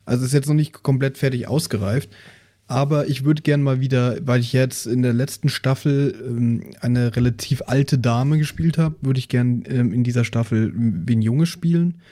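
A man's voice: mid-range voice, fairly even intonation, moderate pace, mellow. A man in casual discussion, explaining his ideas tentatively as a tabletop role-playing player on a podcast.

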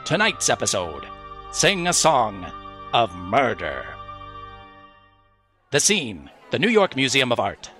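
An actor playing the melodramatic announcer of a pulp mystery serial, portentous and theatrical in old-time radio style. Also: male voice, medium pitch, wildly swooping pitch, takes it slowly, long pauses, bright, forceful tone, faint music behind, full character voice.

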